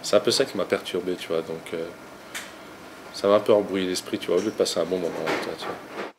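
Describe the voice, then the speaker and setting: A man's voice: deep voice, fairly even intonation, fast, background noise. A young man playing an awkward guy on a date, rambling in casual slang and talking his thoughts aloud. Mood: flustered. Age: young adult. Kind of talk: amateur short film, inner thoughts aloud.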